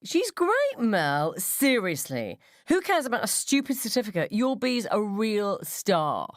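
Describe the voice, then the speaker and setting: A woman voicing a friendly, over-familiar and slightly enigmatic schoolgirl's mother in a radio story, clear and confiding. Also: mid-range voice, big pitch swings, unhurried, no room sound, clean studio sound, voice pushed up. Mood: earnest praise.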